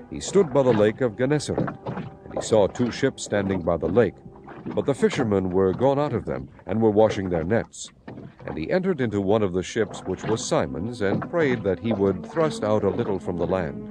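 A man narrates dramatized scripture in a solemn storytelling manner. His voice sits fairly low with lively swings in intonation, and music plays clearly underneath.

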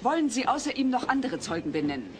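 No-nonsense mother as judge, curt, slightly high voice, lively intonation, comedy audio drama. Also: fast talker, heavy background noise. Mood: impatient. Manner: firm, curt.

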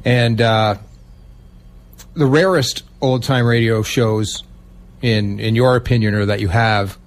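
Mid-pitched man hosting a radio interview. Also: fairly even intonation, takes it slowly. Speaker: radio show host. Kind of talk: casual conversational interviewing.

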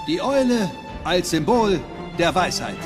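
A visionary old-world founder of a secret order, lofty and sweeping in his proclamations. His voice sits high and swings widely, carried over a music bed in a documentary reenactment. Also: sing-song, a little slow. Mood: proclaiming.

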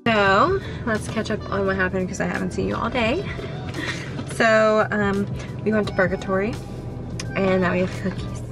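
A woman's voice: medium pitch, fairly even intonation, moderate pace, creaky, over music, poor audio. Chatty young woman vlogging from her phone. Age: 20s. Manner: chatty, rambling, says "like" often. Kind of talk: casual vlog chat. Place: car, kitchen and apartment. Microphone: handheld phone camera at arm's length.

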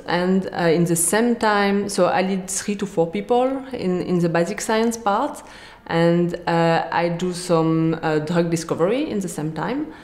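A woman's voice: on the low side, darkish tone, echoey room. A woman in her thirties from France with a French accent, answering interview questions with many fillers and restarts. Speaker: interviewee, scientist. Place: bright office room indoors.

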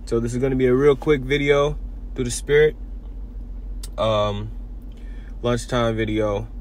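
A man giving an informal sermon video, talking in halting bursts with many repeats and restarts. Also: mid-range voice, fairly even intonation, a little mellow, a little hiss or hum. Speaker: preacher.

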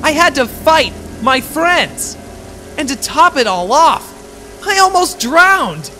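A woman's voice: sits high, slow pace, exaggerated voice. Woman as a swooping, cocky young hedgehog hero. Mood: exasperated. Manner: exasperated, expressive, blurting. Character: cocky young male hedgehog hero, rattled but defiant. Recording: loud music behind.

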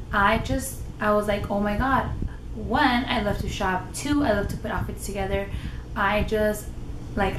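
A young woman with a naturally loud voice, chatting casually to the camera in her living room with lively gestures. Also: mid-range voice. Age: young adult. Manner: animated, gestures a lot with her hands. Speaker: lifestyle vlogger. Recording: roomy with noticeable background noise.

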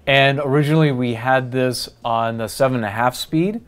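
A man's voice: medium pitch, normal speed, clear, resonant tone. A middle-aged man hosting a how-to demonstration, explaining each step in a plain, methodical way. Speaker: tutorial host.

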